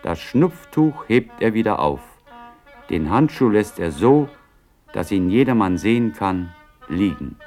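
An actor as the narrator of a radio drama, describing the action in deliberate, pointed scripted phrases. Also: male voice, lowish voice, very animated, a little mellow, soft background music. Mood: observing, deliberate. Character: observant narrator reading stage action aloud.

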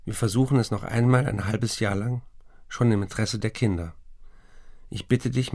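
Radio play: troubled writer husband, gravelly, sober, formal, dry. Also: male voice, fairly low pitch, a little mellow, dry recording. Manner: sober, formal letter reading. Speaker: actor reading letters.

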